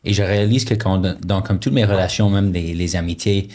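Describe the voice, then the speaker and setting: A young man co-hosting a podcast, telling stories in a relaxed, easygoing way. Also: deep voice, a little fast. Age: young adult. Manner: laughs easily, relaxed storytelling. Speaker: podcast co-host. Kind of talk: casual chat.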